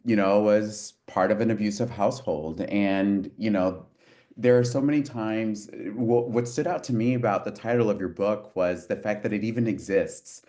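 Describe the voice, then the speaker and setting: A man from Puerto Rico with a fairly low voice, talking earnestly and from the heart. He pauses and restarts as he searches for words. He sounds like a podcast co-host in casual conversation. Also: dark tone.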